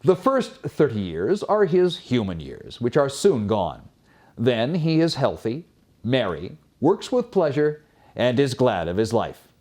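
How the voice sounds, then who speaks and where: A male storyteller reads an old folk tale in a formal, scripted style. His mid-pitched voice is highly animated, rising and falling widely. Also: measured pace. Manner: expressive, formal. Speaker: storyteller, host.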